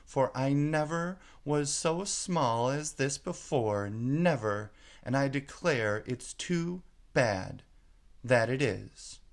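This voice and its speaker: A man's voice: mid-range voice, lively intonation, slow, creaky, voice pushed up. A man voicing a small, earnest, polite and fretful girl in a storybook reading. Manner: earnest, wondering, apologetic.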